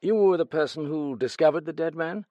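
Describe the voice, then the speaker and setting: Casting: a cool detective, measured and probing, dry and close, as a male narrator voices him. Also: a little high, dry recording, dull audio, pitched above the performer's own voice, lightly acted. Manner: measured, questioning. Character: calm, probing male investigator.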